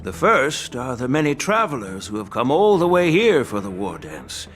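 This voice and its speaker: A measured, deep-voiced veteran general. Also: male voice, wildly swooping pitch, faint music behind. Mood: shrewd, measured. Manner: measured, deliberate, gently instructive. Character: calm, authoritative, shrewd veteran male general. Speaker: voice actor. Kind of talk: scripted video game acting.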